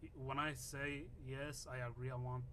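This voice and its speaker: Man, rwandan accent, earnest, storytelling, mid pitch. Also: little pitch movement, moderate pace, sharp tone, a little hiss or hum, rough recording. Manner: earnest, storytelling, acts out quoted exchanges. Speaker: reaction host. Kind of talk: casual commentary.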